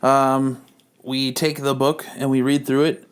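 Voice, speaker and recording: A man's voice: mid-range voice, level tone, measured pace, clean tone. A man hosting a podcast, chatting in a casual, jokey way with some swearing as he introduces a comedy reading. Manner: jokey, profane. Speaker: podcast host.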